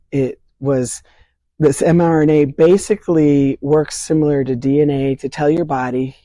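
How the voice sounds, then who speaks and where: Hoarse older woman, earnest explainer, mellow tone, dry close sound. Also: low-pitched, no room sound. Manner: earnest, explains with vivid analogies. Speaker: state leader of a vaccine advocacy group. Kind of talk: explanatory interview answers. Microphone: remote interview from home.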